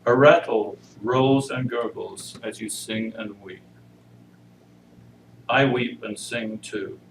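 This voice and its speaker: Male reader for poetry, unhurried and grave, picked up from a distance in a reverberant church room. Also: on the low side, fairly even intonation. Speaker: congregation member reading inmates' poetry. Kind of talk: introduction and poetry reading. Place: church service, indoor room. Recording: roomy, noisy pickup far from mic.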